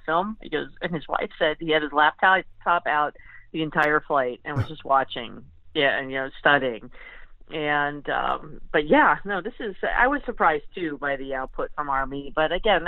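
A man's voice: a little high, no echo. A man giving casual sports commentary as a guest writer, thinking aloud conversationally, over a tinny phone line.

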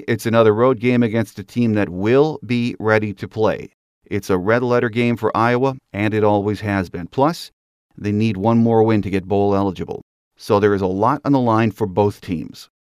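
Male podcast host for sports monologues, lower register, dry studio-style sound. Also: darkish tone, dry recording.

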